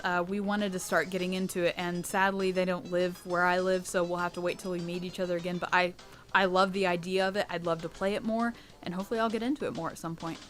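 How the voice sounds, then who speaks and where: A young American woman who hosts her channel, answering fan questions in a candid, chatty way with a low, rich voice. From United States. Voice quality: low rich voice, sometimes taken for a boy. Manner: chatty and candid, with laughs and restarts. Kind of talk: casual Q&A monologue.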